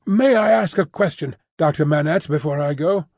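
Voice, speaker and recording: A man's voice: fairly high pitch, moderate pace, a little mellow, no room sound, studio quality. A man voicing a fussy, bustling Englishwoman housekeeper. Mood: emphatic. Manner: emphatic, bustling, prim.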